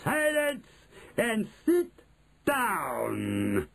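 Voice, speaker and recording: A man voicing a cruel, imperious grand witch in an audiobook, with drawn-out, theatrical, commanding delivery. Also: high-pitched, huge pitch swings, creaky, a bit bright, voice pushed up, full character voice. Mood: snappish, commanding. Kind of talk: audiobook character voice.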